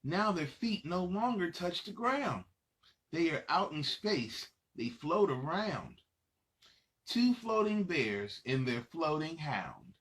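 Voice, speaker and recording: Male kids' storyteller, higher-pitched and very animated, playful and dramatic delivery. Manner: playful, dramatic, pauses to ask young listeners questions. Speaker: children's storybook reader and host. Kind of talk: animated read-aloud storytelling for children.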